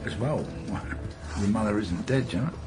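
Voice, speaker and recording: An actor playing a seasoned, measured male detective sergeant in a crime drama, with a dry, steady way of talking. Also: on the low side, over loud music, close to an everyday voice. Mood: calm, gentle. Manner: dry, teasing, steady questioning. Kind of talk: naturalistic crime drama dialogue. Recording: clear background noise.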